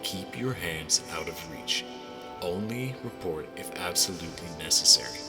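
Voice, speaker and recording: A man's voice: low-pitched, expressive, normal speed. A man playing a stern, guarded lord in an audio drama, speaking in a formal, admonishing way. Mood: cold warning. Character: stern, cynical, guarded male lord in power. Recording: long hall reverb over music.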